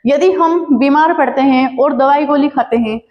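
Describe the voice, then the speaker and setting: A woman's voice: high-pitched, normal speed, long reverb tail, muffled recording. A woman teaching a lesson, asking the class questions and then answering them herself. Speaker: biology teacher.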